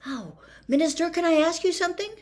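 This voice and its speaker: An earnest young teenage boy, voiced by a woman storybook reader, speaking in lively, swinging pitch. He is eager and questioning, tending to blurt things out. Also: fairly quick. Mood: eager, pleading. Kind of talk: audiobook character reading.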